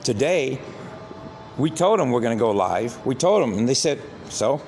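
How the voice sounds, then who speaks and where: A man's voice: medium pitch, expressive, moderate pace, a little mellow. Vietnam-born man, English non-native, emphatic livestream host talking on a phone outside with faint street noise. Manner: emphatic, repeats himself, asks for agreement. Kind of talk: casual outdoor livestream rant. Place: outdoors near a government building. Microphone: phone held by the speaker.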